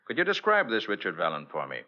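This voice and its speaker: Commanding radio detective: low voice, very animated delivery, dull vintage recording. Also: male voice. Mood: businesslike. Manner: brisk, inquisitive, authoritative. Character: brisk, commanding male private detective. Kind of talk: 1940s radio drama acting.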